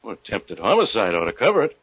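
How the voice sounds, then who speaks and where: A man's voice: on the low side, huge pitch swings, quick pace, a bit bright, husky, dry recording, exaggerated voice. A man playing a blunt, wisecracking police inspector with slangy, hard-boiled delivery in 1940s radio mystery style. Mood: incredulous. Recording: muffled old-time radio recording.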